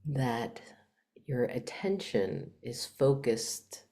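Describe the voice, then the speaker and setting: A woman with a very deep voice guides a meditation at an extremely slow pace. Her tone is dark and mellow, and she speaks in hushed, short phrases, leaving long pauses between them. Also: expressive. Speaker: meditation teacher. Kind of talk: guided meditation, spiritual talk.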